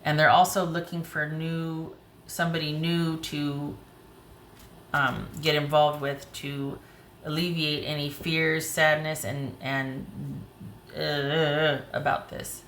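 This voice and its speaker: Slightly hoarse low-voiced woman reading tarot. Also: little pitch movement, takes it slowly, fairly bright tone, echoey room, a little hiss or hum. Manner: thinks aloud while pulling cards. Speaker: tarot reader. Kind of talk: casual tarot reading to camera.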